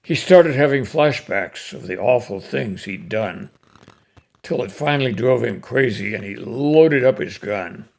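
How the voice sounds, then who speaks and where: Casting: older male poetry reader, folksy and unhurried, slow delivery, gravelly fry, mid-range pitch. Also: expressive. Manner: folksy, unhurried, with pauses between phrases. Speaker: host reading cowboy poetry. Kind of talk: casual introduction and expressive poem recitation.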